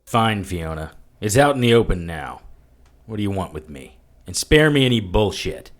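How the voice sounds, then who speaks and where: An actor playing a sardonic, skeptical investigator in an audio drama. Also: male voice, low voice, sing-song, moderate pace. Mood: wary.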